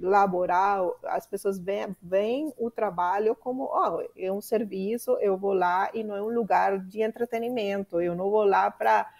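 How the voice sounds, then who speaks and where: A middle-aged woman from Colombia with a Colombian Spanish accent, talking as a podcast guest in an animated way, often pausing with fillers to find her words. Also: mid-range voice, creaky. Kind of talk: conversational interview.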